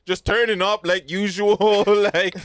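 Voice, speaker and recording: A man's voice: high-pitched, flat delivery, unhurried, clean tone, dry recording. Slangy male host, dry close sound. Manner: street slang, swearing, hype. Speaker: talk show host. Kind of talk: casual interview chat.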